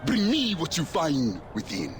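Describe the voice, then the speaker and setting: A fiery former warlord who now preaches. His high voice leaps wildly up and down as he booms out scripture. Loud game ambience hums behind him. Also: huge pitch swings, a little slow, noisy background. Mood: preaching. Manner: preachy, booming, scripture-quoting. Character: fervent male ex-warlord preacher. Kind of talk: video game acting.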